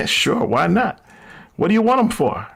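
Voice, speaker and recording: A reckless young man from an old-time radio thriller, played by a male actor. His voice is husky and fairly high, and it swings freely as he talks. He is flippant and defiant, a thief in it for the thrill. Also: sing-song, unhurried, a bit bright, exaggerated voice. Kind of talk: vintage radio drama acting.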